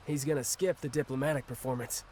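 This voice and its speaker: Game strategist ally, male actor: average pitch, methodical, matter-of-fact briefing delivery, light background noise. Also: steady tone, fast, a little mellow, natural voice. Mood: composed, serious. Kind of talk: video game dramatic dialogue.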